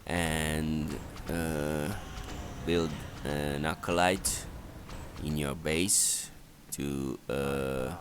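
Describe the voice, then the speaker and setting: A man giving halting, matter-of-fact, instructional commentary on a video game strategy. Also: rumbling low, fairly even intonation, background noise.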